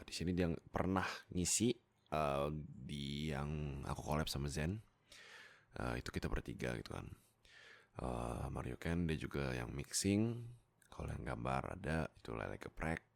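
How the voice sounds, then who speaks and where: A young man chatting casually to his viewers in a deep, gravelly voice, informal and self-deprecating. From Indonesia. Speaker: YouTube roleplay voice creator.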